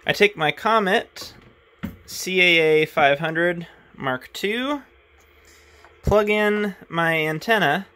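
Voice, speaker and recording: A man with a bright, forceful voice talks through his radio setup at his desk. He speaks in a relaxed, hands-on way, pausing on "uh" as he works the controls. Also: sits high. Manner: relaxed, thinks aloud with frequent "uh" while handling equipment. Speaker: radio hobbyist showing his gear. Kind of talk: casual hands-on demonstration.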